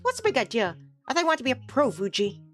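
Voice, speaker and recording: A woman's voice: sits high, huge pitch swings, quick pace, faint music behind, voice pushed up. A woman voicing a laid-back, teasing male student footballer in a visual novel, talking in slangy, ribbing banter.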